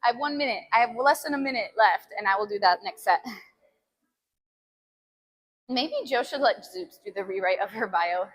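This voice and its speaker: A woman chatting casually with live viewers as a fitness stream host, giggly and animated as she talks between sets. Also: mid-range voice, normal speed, pauses often. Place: gym, during a workout.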